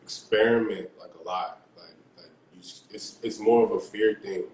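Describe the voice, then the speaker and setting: A man's voice: fairly low pitch, fairly even intonation, moderate pace, darkish tone, reverberant, a little hiss or hum, low-quality recording. A young man, a music artist and producer, talking casually on a video call from a small room at home, laid-back with lots of fillers.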